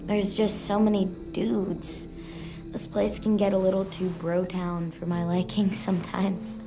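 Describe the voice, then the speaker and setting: A woman's voice: mid-range voice, level tone, a little slow, over music, close to an everyday voice. An actress playing a wistful, awkward but tough teenage girl survivor in a video game, with a hesitant, slangy, casual delivery. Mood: resigned, wry. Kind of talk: video game drama dialogue.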